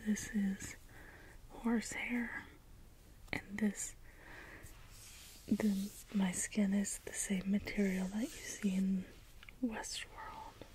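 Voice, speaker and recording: A woman playing a gentle, naive, literal-minded robot caretaker in an ASMR role play, in a breathy whisper with halting phrases. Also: medium pitch, fairly even intonation, natural voice, poor audio. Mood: matter-of-fact, obliging. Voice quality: breathy whisper, mostly unvoiced. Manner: slow, halting, measured phrases, literal and matter-of-fact. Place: indoors, small room, close in front of backdrop. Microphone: close ASMR microphone.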